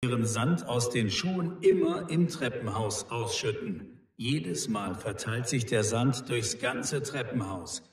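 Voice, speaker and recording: A man's voice: mid-range voice, animated, slow, husky, heavily acted. A man playing a fault-finding, formal neighbour in a scripted language-lesson dialogue. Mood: reproachful. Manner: reproachful, formal. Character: grumbling, fault-finding male neighbour in an apartment house.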